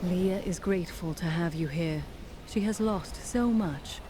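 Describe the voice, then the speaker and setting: A woman plays a watchful fantasy game companion. Her voice is lowish, composed and sober, with a guarded thoughtfulness, over clear ambient noise. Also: unhurried, natural voice. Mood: sober concern. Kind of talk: dramatic fantasy game dialogue.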